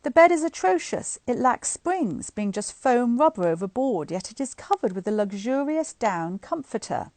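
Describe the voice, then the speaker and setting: High-voiced woman reading aloud, very animated. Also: normal speed, hardly any pauses, dry recording. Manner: informal, chatty asides between expressive read passages. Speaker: guest reader of a bedtime story series. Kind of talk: live book reading with casual asides to online listeners. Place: indoors at home, on a live online stream.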